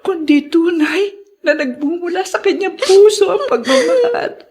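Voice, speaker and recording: A woman's voice: very high voice, unhurried, darkish tone. An actress playing an emotional, anguished mother in a Filipino radio drama. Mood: sorrowful farewell. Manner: dramatic, anguished, breaking into sobs. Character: guilt-ridden ailing mother, emotional and remorseful.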